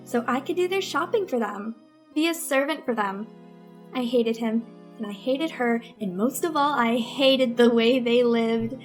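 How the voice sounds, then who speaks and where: A woman's voice: a little high, a little slow, lightly acted. A woman playing a bitter, scheming teenage girl in a melodramatic old-time radio suspense play, with music under her. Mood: bitter, resentful. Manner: melodramatic, resentful. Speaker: narrating lead in a radio melodrama.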